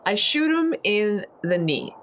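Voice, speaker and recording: A woman playing a tabletop roleplaying game, chatting casually and playfully thinking her moves out loud. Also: medium pitch, sing-song, slow, a little hiss or hum.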